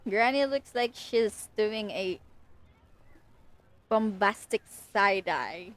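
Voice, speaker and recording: A woman's voice: medium pitch, expressive, takes it slowly, fairly bright tone. A woman livestreamer giving casual, murmured and giggly reactions to a game. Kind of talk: casual live game commentary.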